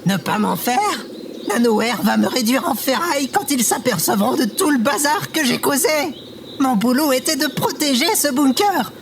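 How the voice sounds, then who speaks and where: A woman's voice: high-pitched, expressive, normal speed, noisy background. A woman playing an anxious, self-pitying robot guard in a comedic audio drama, dramatic and whiny. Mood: distraught, whining.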